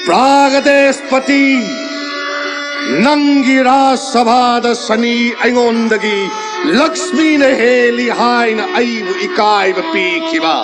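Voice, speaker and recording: A vengeful god of myth, declaims curses in a very high, booming voice. The delivery is theatrical and stretched out, as in an audio drama, with clear music behind it. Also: male voice, steady tone, rapid-fire. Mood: wrathful, menacing. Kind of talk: Manipuri audio mythological drama acting. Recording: studio radio drama with loud music.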